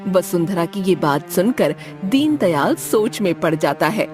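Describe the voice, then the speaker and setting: A woman's voice: lowish voice, expressive, measured pace, over music. A woman narrating a scripted moral story for a cartoon as its storyteller.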